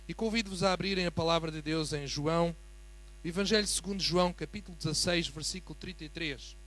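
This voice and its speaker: A man with a European Portuguese accent preaching as a guest in a church, building from a quiet greeting to fervent emphasis. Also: fairly high pitch, brisk, a little hiss or hum. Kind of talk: sermon.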